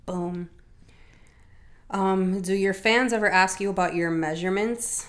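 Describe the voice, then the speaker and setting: A young woman from Miami hosting a podcast, teasing and blunt in casual chat, quick to laugh. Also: medium pitch, steady tone. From Miami, Florida. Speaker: podcast host.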